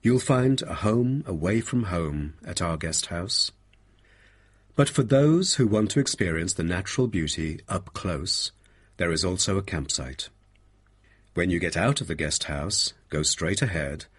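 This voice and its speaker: A man reading a scripted guided presentation as a helpful guide with a husky voice. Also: deep voice, exaggerated voice. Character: helpful male guide presenting a park's facilities. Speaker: voice actor reading listening test parts. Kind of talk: scripted narration.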